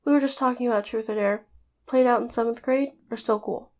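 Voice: mid-range voice, fairly even intonation, a little fast, a little mellow, pitched above the performer's own voice, lightly acted. A narrator voicing a bold, impulsive teenage girl in an audiobook, with theatrical emotion. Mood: tipsy, teasing.

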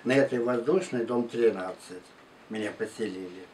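A man in his nineties from Russia, slowly and haltingly recounting his life story in an interview. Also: on the low side, a little mellow. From Tula region and Moscow outskirts, Russia. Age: 92, elderly. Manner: halting, unhurried, with restarts and pauses. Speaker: war veteran interviewee. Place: living room at home, indoors. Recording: distant mic, faint room noise.

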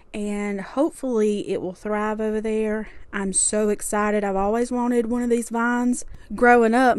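A woman's voice: medium pitch, dry recording. A young woman casually narrating a home cleaning vlog in a chatty, homely way with small laughs. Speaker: cleaning vlogger.

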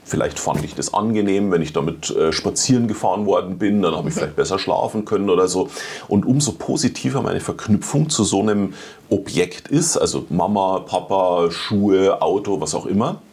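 A man's voice: low voice, expressive, normal speed, darkish tone, breathy edge. A middle-aged man, a neuroscientist guest, explaining at length in a studio interview, often adding tag questions like "ne?". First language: German. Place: small TV studio set.

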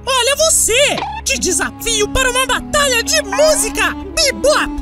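Squeaky cocky little cartoon boy. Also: very animated, a little slow, full character voice. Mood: taunting. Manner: taunting, rhyming. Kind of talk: sing-song cartoon rap taunting. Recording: music behind the voice.

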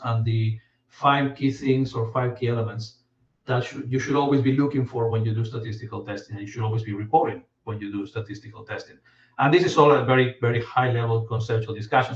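A man in his forties from Argentina, giving a relaxed academic talk with many fillers and restarts over a video call. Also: mid-range voice. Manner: relaxed, frequent fillers and repeated words. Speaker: guest lecturer, professor. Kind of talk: casual academic presentation. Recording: distant, roomy, dull video-call audio.

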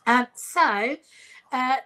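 A woman hosts a live video call lesson for children from her home. She speaks in an upbeat, friendly way with a fairly high voice. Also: drawn-out words, sharp tone. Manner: friendly, upbeat, laughs often. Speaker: host. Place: home room.

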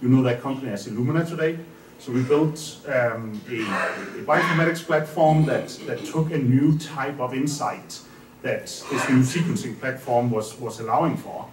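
A man's voice: mid-range voice, moderate pace. Candid man on a panel. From Denmark. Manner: energetic, candid, gestures while talking. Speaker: startup founder panelist. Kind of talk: casual conference talk. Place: indoor conference room. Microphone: stand microphone at a panel table. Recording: roomy hall, mic from a distance, faint hum.

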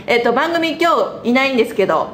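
A young woman with a high, quick voice chats playfully as part of a live show's cast. She is giggly and self-deprecating, casual in her delivery. The sound is roomy and echoing, as in a small studio picked up from a little way off. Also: lively intonation. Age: young adult. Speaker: cast member of a weekly live web show. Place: small indoor studio with a group. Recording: roomy studio echo, faint noise, lo-fi stream.

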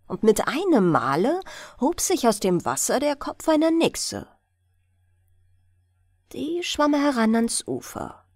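A woman narrating a bedtime audiobook in a gentle, expressive, deliberate storytelling style. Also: mid-range voice, no room sound, studio quality.

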